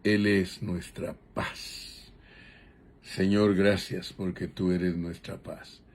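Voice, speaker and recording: A man preaching a Bible teaching sermon in a deliberate, measured way, pausing between short phrases. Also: low-pitched, little pitch movement.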